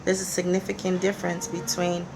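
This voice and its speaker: A young woman from the United States talks loosely to camera, pausing on fillers and restarting her sentences as she checks her notes. Her voice sits on the low side and is heavily gravelly. A steady hiss of noise runs under the recording. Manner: conversational, many fillers and restarts, reading from notes. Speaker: real estate company presenter. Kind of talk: casual talk to camera. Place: in Nigeria.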